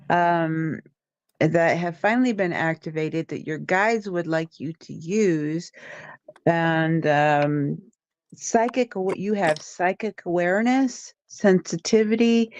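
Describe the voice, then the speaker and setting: A woman reads a tarot card aloud for a livestream, taking her time and circling back with ums and restarts. Her voice sits a little low and comes through dull and lo-fi, close and dry with no room around it. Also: a little mellow, dry recording. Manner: meandering, with many ums and restarts. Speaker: tarot reader and co-host. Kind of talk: casual livestream card reading. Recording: dull lo-fi stream audio.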